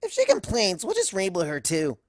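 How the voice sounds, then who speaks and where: A woman's voice: mid-range voice, huge pitch swings, moderate pace, darkish tone, dry recording, studio quality. A woman voicing a brash, cocky young female pegasus pony with a scratchy, teasing delivery.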